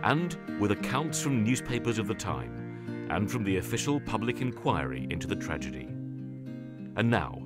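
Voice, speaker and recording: A man reading scripted documentary narration in a measured announcing manner. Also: on the low side, very animated, loud music behind the voice.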